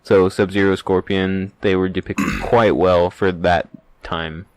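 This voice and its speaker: A man's voice: low-pitched, flat delivery, a little slow, darkish tone, no room sound. A man co-hosting a podcast, chatting casually about movies in a grumbling, sarcastic manner. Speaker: podcast co-host.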